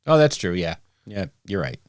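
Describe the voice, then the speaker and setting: Low-voiced laid-back man co-hosting. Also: sing-song, moderate pace, no echo, clean studio sound. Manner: self-deprecating, bursts into loud laughs, hesitant with points. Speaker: podcast co-host. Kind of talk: casual chat about tabletop wargaming.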